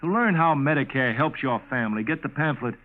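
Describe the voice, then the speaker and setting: Actor as an animated radio straight man, tinny. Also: medium pitch, fairly quick, natural voice. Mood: amused, informative. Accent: American. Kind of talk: 1950s radio public service skit, announcer delivery. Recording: tinny, narrow-band old radio recording.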